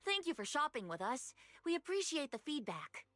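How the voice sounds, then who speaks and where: A woman's voice: high-pitched, very animated, moderate pace, fairly bright tone, no echo. A woman voicing a polite, eager young shopkeeper with a courteous customer-service manner in an anime-style game.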